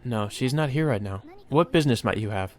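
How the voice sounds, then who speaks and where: A man's voice: medium pitch, lively intonation, fairly quick, a little mellow, natural voice. A man voicing an anxious, guarded teenage schoolgirl in a visual novel. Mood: uneasy, subdued. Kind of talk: character reading in a visual novel.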